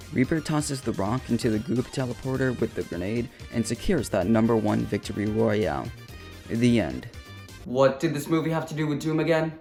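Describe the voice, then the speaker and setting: A man's voice: medium pitch, a little mellow. A man delivering casual, scripted comedic commentary for a video essay, with a flippant, slangy, jokey manner. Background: upbeat video game music.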